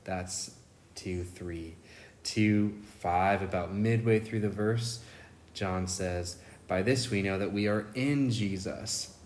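A man's voice: low-pitched, slow, darkish tone, a little hiss or hum. A man co-hosting a casual Bible study podcast, thoughtful and enthusiastic, often restarting his phrases. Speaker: podcast co-host.

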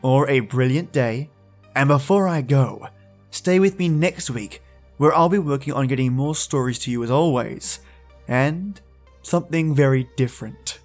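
A male podcast host gives a friendly scripted introduction. His voice is mid-pitched, with music playing behind it. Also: moderate pace, a little mellow. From Australia.

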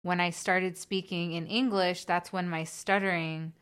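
A woman hosting an interview, whose first language is Hebrew, asking listener questions in a soft, conversational way with occasional stammers. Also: lowish voice, fairly even intonation, unhurried, clear, resonant tone. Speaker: podcast host.

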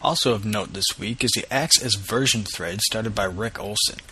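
Bright, husky-voiced male podcast host. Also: on the low side, moderate pace, low-quality recording. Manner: casual and upbeat, with easy laughs. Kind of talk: news roundup and interview.

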